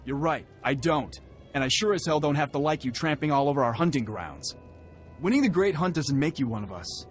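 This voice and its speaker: An actor playing a proud, confrontational clan warrior in a video game, brusque and scornful toward outsiders. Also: male voice, on the high side, animated, a little fast, soft background music, close to an everyday voice. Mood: hostile, scornful. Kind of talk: video game cutscene acting.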